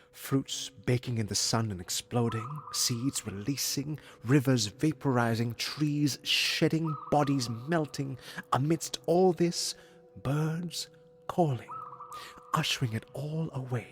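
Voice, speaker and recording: An actor playing a reticent, intense musician in an audio drama, speaking with a husky voice in a halting, poetic, introspective way. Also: male voice, medium pitch, close to an everyday voice. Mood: quiet, lyrical. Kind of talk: naturalistic audio drama dialogue and lyrical monologue.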